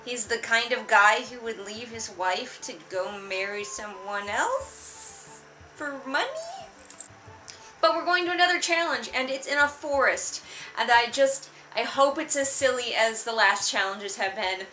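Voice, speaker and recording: Bright, high-voiced sarcastic woman commentator. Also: unhurried, soft background music, low-quality recording. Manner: exasperated, mocking, emphatic, sprinkled with swearing. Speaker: book reviewer and vlogger. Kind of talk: casual sarcastic read-along commentary.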